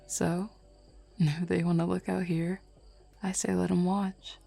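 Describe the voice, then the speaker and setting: A woman voicing a flirty, self-assured female werewolf in an intimate roleplay audio, teasing softly and without hurry. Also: lowish voice, level tone, slow pace, creaky, heavily acted. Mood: flirty, confident.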